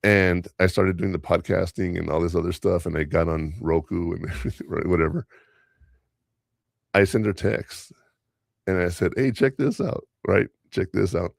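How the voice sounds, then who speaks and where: A man's voice: low voice, normal speed, vocal fry, no room sound, clean studio sound. A man whose first language is Spanish, hosting a podcast in a casual, rambling way, telling stories from work and chuckling often. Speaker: podcast host and school teacher.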